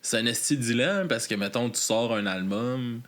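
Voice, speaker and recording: A man's voice: mid-range voice, normal speed, a bit bright. A man with a Québécois French accent hosting a casual interview, rambling through lots of fillers and casual swearing. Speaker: podcast host.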